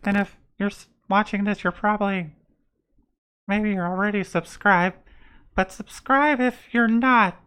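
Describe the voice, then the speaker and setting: A woman's voice: medium pitch, steady tone, a little slow, fairly bright tone, clear, resonant tone, natural voice. A woman putting on an exaggerated radio-announcer voice for a channel sign-off promo. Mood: showy, upbeat. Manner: exaggerated, projected promo delivery. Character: woman doing an over-bright radio announcer. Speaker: co-host.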